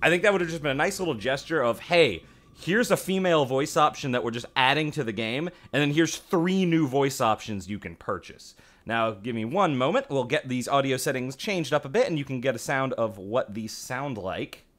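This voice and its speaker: A man's voice: medium pitch, lively intonation, moderate pace, a bit bright. A man hosting a gaming video, commenting casually and conversationally with wry asides. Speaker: gaming channel host. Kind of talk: casual game update commentary.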